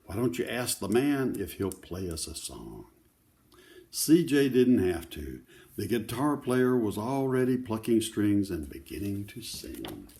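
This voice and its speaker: Older male storyteller for children: lowish, relaxed voice with very lively intonation, explaining as he goes. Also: measured pace. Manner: explains the pictures simply, with conversational asides. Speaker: children's book reader. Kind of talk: read-aloud storytelling with informal asides to children.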